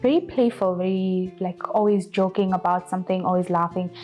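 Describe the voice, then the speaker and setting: A woman in her twenties from South Africa, telling her story in a shy, gentle way. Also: lowish voice, steady tone, a little fast, muffled recording, faint music behind. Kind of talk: casual interview storytelling.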